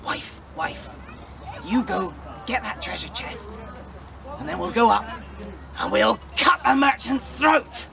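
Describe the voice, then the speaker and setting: A man voicing a scheming male innkeeper who plots in hushed tones and shouts in feigned alarm. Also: sits high, expressive, measured pace, vocal fry, a crowd in the background, exaggerated voice.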